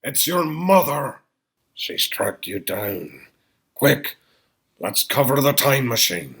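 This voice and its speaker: A man voicing an excitable, eccentric old scientist in a comic table read, raspy and theatrical, swinging from hushed to booming. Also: slow pace. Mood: excited, urgent.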